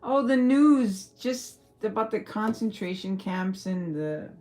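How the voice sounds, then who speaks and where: A woman's voice: mid-range voice, expressive, slow pace, darkish tone. A woman from El Paso with a Texan accent, chatting casually on a livestream, rambling and swearing freely. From El Paso, Texas. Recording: faint music behind.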